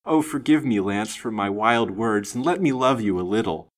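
A man's voice: fairly low pitch, animated, normal speed, fairly bright tone. A man voicing a passionate, lovestruck young woman in a melodramatic, imploring manner for an audiobook story. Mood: wild pleading. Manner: imploring, overwrought, theatrical. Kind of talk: dramatised audiobook reading.

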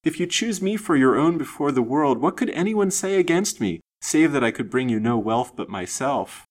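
A man's voice: on the low side, lively intonation, normal speed, fairly bright tone. A man voicing a passionate, lovestruck young woman in a melodramatic, imploring manner for an audiobook story. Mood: beseeching. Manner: imploring, overwrought, theatrical. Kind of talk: dramatised audiobook reading.

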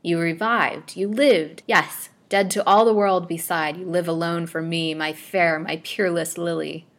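A woman's voice: on the low side, fairly even intonation, measured pace. A woman voicing a possessive, ardent male suitor in a storybook, with florid and melodramatic declarations. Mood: pleading, ardent.

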